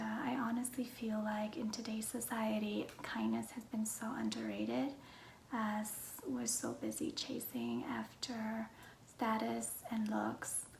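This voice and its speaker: A young woman vlogger with a Vietnamese accent talks casually about herself in a high, breathy, soft voice. She takes her time between phrases, speaking at a slow pace. The recording sounds roomy and echoey. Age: young adult. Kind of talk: casual personal Q&A to viewers. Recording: roomy echo, faint background noise.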